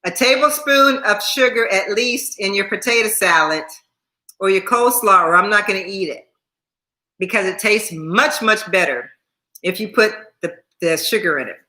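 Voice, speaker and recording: A woman's voice: medium pitch, moderate pace, sharp tone, echoey room. A woman in her sixties chatting casually on a livestream, repeating herself and laughing often while thanking viewers by name. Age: 60s. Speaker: YouTube host.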